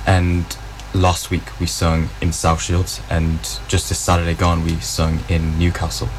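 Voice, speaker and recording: Very deep, flat-voiced young man. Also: background noise. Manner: calm, flat, measured, matter-of-fact. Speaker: vocal group arranger. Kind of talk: interview answers.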